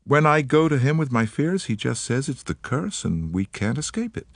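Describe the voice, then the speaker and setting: A man voicing a determined American woman of about thirty with an American accent, earnest and quick. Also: medium pitch, big pitch swings, gravelly, no room sound, studio quality, pitched above the performer's own voice.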